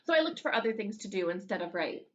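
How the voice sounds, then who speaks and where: A woman's voice: medium pitch, very animated, fast talker, a bit bright, highs cut off. A woman reading a children's storybook aloud as a schoolteacher, expressively, with asides to her young pupils. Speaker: elementary school teacher.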